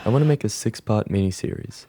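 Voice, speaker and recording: A man narrating a comic pitch in a deadpan, wordy, theatrical storytelling way. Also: fast talker, over loud music.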